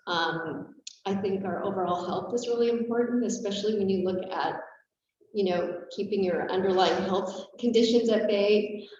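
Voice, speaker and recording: A woman in her forties giving a brisk, self-assured board candidacy statement over a video call, with frequent filler words. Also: medium pitch, darkish tone, slight background noise. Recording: muffled, roomy, distant call audio.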